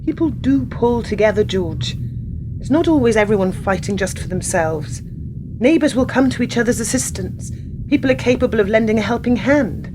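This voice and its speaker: A woman's voice: fairly high pitch, expressive, moderate pace, noisy background, close to an everyday voice. A woman playing an earnest, compassionate, questioning character in a radio play, with careful, formal delivery. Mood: concerned, earnest.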